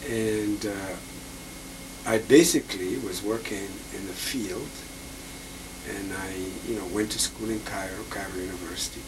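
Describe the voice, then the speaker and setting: A man's voice: lowish voice, steady tone, unhurried. A man, patiently explaining instruments as he handles them and answering interview questions about his life. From Egypt. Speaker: musician and lecturer. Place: indoor room full of instruments, then an office with bookshelves. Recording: distant, hissy old videotape.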